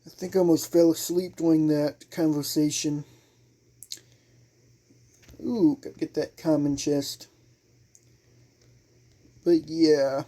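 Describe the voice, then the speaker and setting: Slow, mellow-voiced man gaming. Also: a little high, little pitch movement. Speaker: game streamer. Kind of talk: casual let's play commentary.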